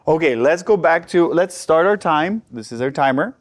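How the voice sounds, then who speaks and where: A man's voice: a little high, animated, moderate pace. A man hosting a podcast interview, asking questions and rephrasing his guest's answers to check he understood. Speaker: podcast host. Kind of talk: casual interview.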